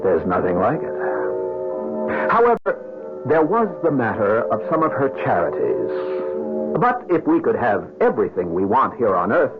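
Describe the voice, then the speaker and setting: A smooth, cynical younger man wooing for money in old-time radio theatre. His delivery is theatrical and suave, swinging widely in pitch. The sound is thin and boxy, like a vintage broadcast. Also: wildly swooping pitch, measured pace. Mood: wry, smug. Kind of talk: old-time radio drama acting and first-person narration. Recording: tinny band-limited old radio broadcast, music.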